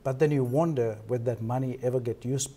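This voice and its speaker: A man with a Sri Lankan accent answers as an interview guest. His tone is soft-edged and mellow at a middle pitch, and he pauses mid-sentence as he explains. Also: fairly even intonation. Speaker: interview guest, foundation co-founder. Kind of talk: televised interview, explanatory talk.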